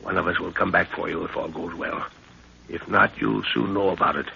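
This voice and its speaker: An actor playing a middle-aged, seasoned jungle adventurer, fatherly and commanding, with measured instructions in a 1930s radio drama. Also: sits low, flat delivery, creaky, bright, forceful tone, heavily acted. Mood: hushed command. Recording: noisy dull 1930s radio recording.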